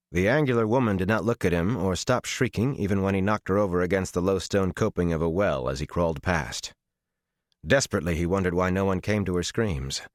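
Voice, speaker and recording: A man reading a dramatic fantasy audiobook as its narrator, with a measured, intense and expressive delivery. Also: low voice, no echo, clean studio sound.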